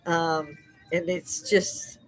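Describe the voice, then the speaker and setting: An older woman hosting a casual live stream. She has a loud speaking voice and talks in a loose, chatty way, laughing often. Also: on the low side, level tone, very slow, soft background music, low-quality recording. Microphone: desk microphone.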